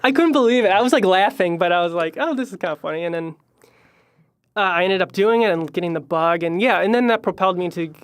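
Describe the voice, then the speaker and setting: A young man from Chicago with a high voice, chatting as a musician guest in a podcast interview, rambling with many fillers and trailing off quietly. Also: expressive, fast talker, clear, resonant tone, no room sound, clean studio sound. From Chicago, Illinois.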